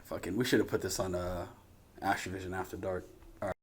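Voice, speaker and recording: A man's voice: low voice, level tone, quick pace, a little hiss or hum. A man hosting a reaction video, chatting casually in a rambling, informal way.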